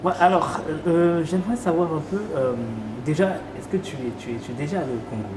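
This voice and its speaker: A man's voice: a little high, quick pace, background noise. A man hosting a casual interview, asking rambling questions that he repeats and rephrases. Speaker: interviewer, channel host. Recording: roomy echo, music underneath.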